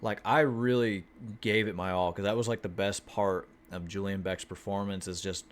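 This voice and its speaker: A man telling his vlog viewers about an acting class, talking in a flat monotone, swearing freely and restarting his sentences often. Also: low-pitched, normal speed. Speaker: acting student vlogger. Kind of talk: casual chat. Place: Houston, Texas.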